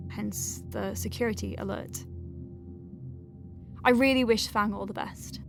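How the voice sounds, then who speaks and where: A woman playing a conscientious, wry and compassionate doctor dictating patient notes in an audio drama, measured and articulate with conversational asides. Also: a little high, close to an everyday voice. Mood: measured, uneasy. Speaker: doctor at a clinic.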